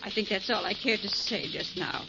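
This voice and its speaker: A woman's voice: mid-range voice, moderate pace, vocal fry, over loud music, lightly acted. An actress playing a middle-aged mother, bitter and resolute, in a 1940s radio drama. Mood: grimly resigned. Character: stubborn, bitter, protective mother of grown sons. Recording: noisy, muffled 1940s radio recording.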